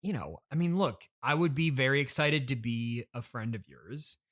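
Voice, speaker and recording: A man hosting an improvised comedy interview in casual chat, speaking in a halting, politely reluctant way. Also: medium pitch, animated, a bit bright. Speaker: podcast host.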